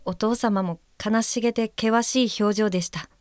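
An actress playing a considerate, observant guide helper in an audio drama, speaking gently, politely and with care. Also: female voice, medium pitch, fairly even intonation, normal speed, no room sound, clean studio sound, close to an everyday voice. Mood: careful, subdued. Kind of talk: Japanese audio drama acting, reading aloud and describing.

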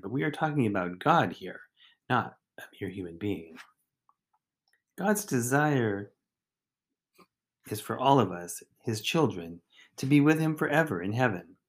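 Man reading a scripted devotional reflection. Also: mid-range voice. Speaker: deacon, devotional podcast host.

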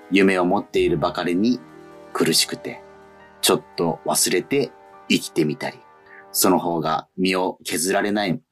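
A man hosting a radio show, reading listener letters aloud and chatting with guests. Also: deep voice.

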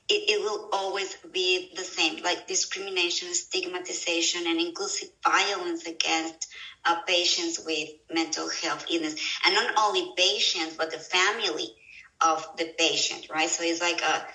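Gravelly woman, echoey room. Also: on the low side, bright, forceful tone. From Mexico. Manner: halting, restarts and repeats words often. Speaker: guest, mental health professional. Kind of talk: conversational interview answers. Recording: echoey room, mic at a distance.

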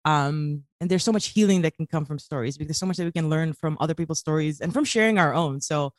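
A woman's voice: sits low, fast, no room sound. Woman in late twenties, from Kuwait, earnest, lots of um, dry close sound. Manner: earnest, frequent "um" fillers. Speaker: guest. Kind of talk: casual interview talk.

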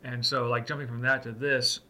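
A man's voice: mid-range voice, flat delivery, moderate pace, sharp tone. A man co-hosting a casual movie review chat, rambling a bit and leaning on fillers like "like" and "uh". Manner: rambling, heavy on like and uh. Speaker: co-host.

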